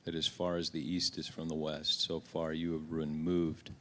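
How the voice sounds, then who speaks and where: Deep-voiced pastor leading soft prayer. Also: male voice, completely flat delivery, moderate pace, a little hiss or hum. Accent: Norwegian. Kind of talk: guided prayer meditation. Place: church sanctuary.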